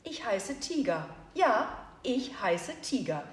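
A small, brave male hare from a storybook, bursting with eager excitement, as a woman acts him out. He sounds slightly higher than the narrator's own voice, with a roomy echo around him. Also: takes it slowly, slight background noise. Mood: proud.

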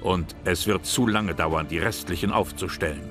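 A man with a low voice delivers scripted narration for a history documentary. Music plays clearly underneath him. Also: moderate pace.

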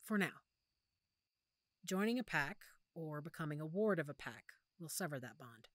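A woman acting a protective, weary werewolf pack leader in an audio roleplay, speaking softly and measuredly with thoughtful pauses. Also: deep voice, animated, dry recording, studio quality. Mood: patient, explaining. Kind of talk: audio roleplay drama, one-sided conversation.

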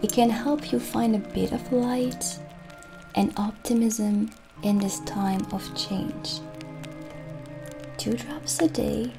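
Soft-spoken ASMR witch shopkeeper: soothing, reassuring, creaky, very unhurried, light music behind. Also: on the high side. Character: gentle, reassuring witch shopkeeper selling elixirs and enchantments. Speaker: ASMR roleplay performer.

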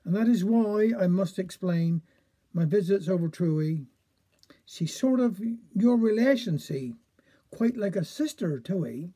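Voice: mid-range voice, expressive, measured pace, mellow, husky. A reader voicing a stern, awkward father in a hesitant, confiding way, in a comic verse recital.

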